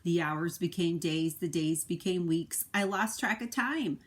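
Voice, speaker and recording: A woman voicing an earnest, worried male egg who tells his own storybook tale, with expressive, dramatic delivery. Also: on the low side, a little slow, natural voice. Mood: lonely.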